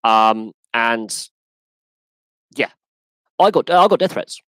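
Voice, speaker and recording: A man with an English accent hosting a live sports podcast Q&A, talking in an animated, emphatic way that often restarts and repeats itself. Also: mid-range voice, slow, smooth, no echo, rough recording. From England. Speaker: podcast host. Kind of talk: live Q&A rant.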